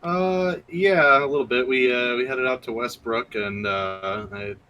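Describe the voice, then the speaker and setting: A man in a tabletop game, speaking in character in a halting, hesitant way. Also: mid-range voice, highs cut off. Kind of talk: playing his character in casual play.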